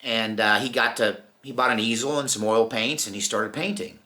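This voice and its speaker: A middle-aged American man telling personal stories informally, in a folksy, reflective manner with unhurried pauses. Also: medium pitch, steady tone, sharp tone. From Texas, United States. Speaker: storyteller, channel host.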